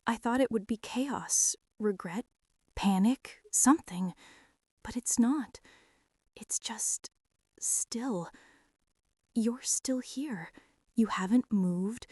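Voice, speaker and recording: Woman voicing a nervous woman CEO confessing feelings, ASMR roleplay, soft halting delivery with whispers, slightly high voice, dry close sound. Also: dry recording. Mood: hushed. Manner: hushed, halting, slips into whispers.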